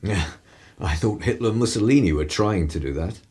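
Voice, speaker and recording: Guy playing sardonic 30s english radio man, husky and low, theatrical, very up and down, irreverent radio play character. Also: lively intonation, fairly quick, a little mellow. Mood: mocking, theatrical. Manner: sardonic, theatrical, upper-class 1930s turns of phrase. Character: cynical, irreverent 1930s English male broadcaster, world-weary and mischievous.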